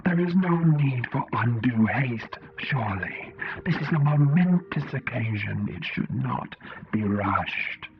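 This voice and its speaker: Muffled, stilted alien diplomat. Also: male voice, mid-range voice, animated. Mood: measured. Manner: stilted, formal, deliberate. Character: ponderous, formal tentacled alien diplomat, suspicious and unhurried. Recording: band-limited radio-like sound.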